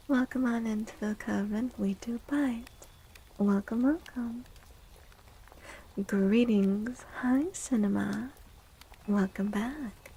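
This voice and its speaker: A woman speaking softly and breathily, often near a whisper, in a gentle ASMR livestream style. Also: medium pitch, vocal fry, a little hiss or hum, rough recording. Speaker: streamer and tarot reader. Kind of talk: soft-spoken ASMR livestream chat.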